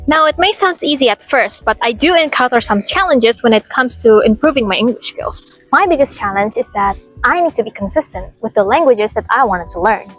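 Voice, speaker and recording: Young woman, fairly high voice. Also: lively intonation, a little fast, few pauses, fairly bright tone. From Indonesia. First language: Indonesian. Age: young adult. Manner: casual, frequent "like" fillers. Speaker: vlogger. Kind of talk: scripted vlog narration. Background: faint lo-fi music.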